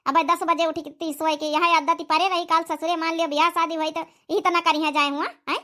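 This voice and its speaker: A woman as a shrill-high nagging Awadhi village mother. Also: level tone, brisk, pushed and bright. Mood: scolding. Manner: nagging, repetitive. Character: scolding, nagging village mother of a grown daughter. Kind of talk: cartoon comedy dialect acting.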